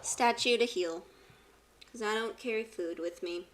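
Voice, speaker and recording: A woman giving casual live gameplay commentary, expressive and repeating phrases when she gets flustered. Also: mid-range voice, unhurried, a little hiss or hum. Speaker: streamer. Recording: loud game music behind the voice. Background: video game music and effects.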